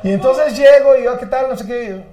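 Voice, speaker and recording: High-pitched Mexican man, forties, casual storyteller. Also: echoey room, over a noisy crowd. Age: 40s. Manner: rapid, self-mocking storyteller who quotes officials. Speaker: guest, journalist. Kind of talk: casual chat, telling an anecdote.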